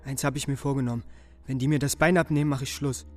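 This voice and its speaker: A male actor as a young wounded soldier in a radio play, grimly resolved. His mid-pitched voice moves quickly, over faint music. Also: fairly even intonation, darkish tone, lightly acted. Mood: grim, anxious. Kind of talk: radio drama acting.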